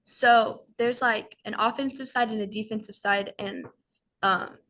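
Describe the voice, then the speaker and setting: A woman's voice: mid-range voice, fairly even intonation, unhurried, a bit bright, a little hiss or hum. A young woman student answering on a video call, unsure and correcting herself.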